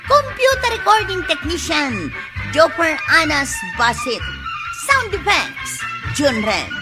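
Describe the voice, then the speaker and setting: Female announcer, Filipino accent, lively rise and fall, slow pace, closing credits, prominent music bed. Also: very high voice. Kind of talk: radio drama credits announcement. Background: loud music.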